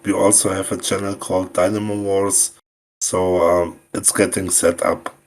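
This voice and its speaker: A man's voice: sits low, level tone, moderate pace. A man from Kazakhstan, a guest from a crypto team, giving brief, hesitant answers in a casual chat.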